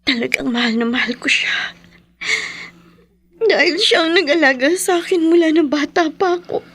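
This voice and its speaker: An actress with a Filipino accent playing an emotional, haunted young wife, grieving and fearful, in a horror radio drama. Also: female voice, high voice, moderate pace, a bit bright, no echo. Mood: sorrowful. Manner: emotional, pleading delivery.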